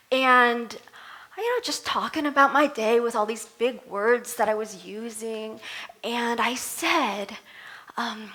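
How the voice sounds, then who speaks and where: A middle-aged woman from Vietnam, a native Vietnamese speaker, giving a conversational sermon with animated storytelling and self-deprecating humor. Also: a little high. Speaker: reverend giving a talk. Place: temple service.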